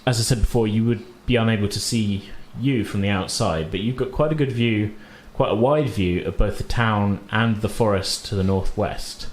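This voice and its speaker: Low voiced guy being the dungeon master, loose chatty descriptions, bit of background noise. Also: normal speed, few pauses. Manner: conversational, hedging descriptions with "kind of" and "sort of". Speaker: game master. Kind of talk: narrating a tabletop role-playing game.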